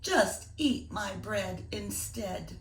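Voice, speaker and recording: An innocent little girl in a storybook rhyme, put on by a woman reading aloud. The voice lifts high, polite and childlike. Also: lively intonation, very slow, roomy sound, far from the mic, low-quality recording. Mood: pleading.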